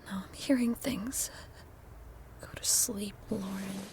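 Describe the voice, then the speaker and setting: An actress playing a frightened, guilt-ridden lonely woman, tremulous and halting, in a horror audio drama. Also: mid-range voice, steady tone, a little mellow, slight background noise, poor audio. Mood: whispered self-doubt.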